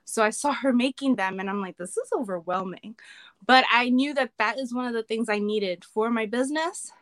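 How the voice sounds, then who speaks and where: A woman's voice: fairly high pitch, brisk, fairly bright tone, no room sound. A woman from the Bronx, New York, talking casually as a podcast guest and slipping Spanish phrases into her English. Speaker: podcast guest, catering business owner. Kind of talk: casual interview chat.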